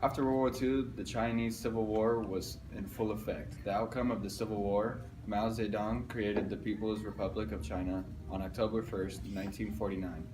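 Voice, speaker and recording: A man's voice: on the low side, fairly even intonation, moderate pace, hardly any pauses, noisy background. A young man giving a scripted history report as a news correspondent. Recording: distant mic under clear music.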